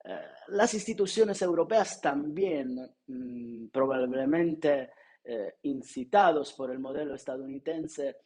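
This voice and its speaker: A man speaks in Spanish with a clear Italian accent, his first language showing through. He delivers an academic seminar lecture at an unhurried, halting pace, often pausing on filler sounds and restarting his phrases. His voice is dark, mellow and slightly husky. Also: mid-range voice.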